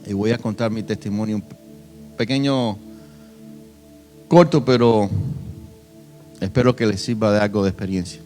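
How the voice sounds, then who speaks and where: A young man from Cuba, preaching a sermon and telling his own testimony, swinging between soft and loud emphasis. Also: on the low side, normal speed, pauses often, soft background music. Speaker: pastor.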